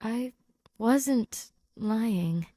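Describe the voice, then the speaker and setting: Lady reading awkward middle aged teacher guy, flustered, repeats himself, warm hearted, dry sound. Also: fairly high pitch, expressive, very slow, dry recording, full character voice. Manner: stammering, repeating words in a fluster.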